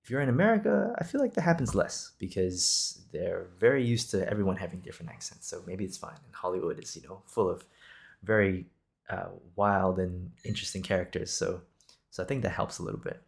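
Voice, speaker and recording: A man's voice: sits low, animated, brisk, creaky. A man in his twenties from Singapore hosting an improvised podcast, talking casually in a reassuring, encouraging, conversational way. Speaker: podcast host.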